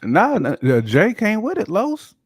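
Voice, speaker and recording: A man's voice: high voice, wildly swooping pitch, a little slow, husky, dry recording, studio quality. An American man co-hosting a casual hip hop discussion, talking in emphatic slang. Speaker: podcast host.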